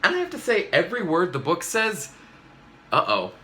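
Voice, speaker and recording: A man's voice: high voice, huge pitch swings, normal speed, bright, forceful tone, a little hiss or hum, voice pushed up, full character voice. A man voicing an exasperated grown-up reader of a children's storybook, mock-indignant and pleading. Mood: indignant, baffled. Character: exasperated grown-up reader forced to say silly things. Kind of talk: animated children's read-aloud.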